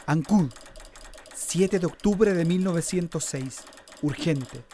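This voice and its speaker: An actress voicing an urgent telegram in a radio drama, reading in a clipped, terse manner. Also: female voice, on the low side, takes it slowly, mellow, slight background noise. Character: urgent telegram being read out. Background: clacking typing sounds.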